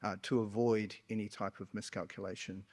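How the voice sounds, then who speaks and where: A man giving an unscripted panel answer, halting and restarting as he picks his words. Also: on the low side, level tone, darkish tone. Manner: halting, hedging, frequent false starts.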